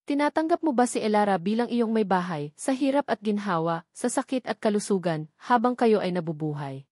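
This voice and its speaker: A woman's voice: mid-range voice, lively intonation, fairly quick, darkish tone, no room sound, clean studio sound, close to an everyday voice. A woman with a Filipino accent voicing a solemn male priest, formal and ceremonial, in a narrated love story.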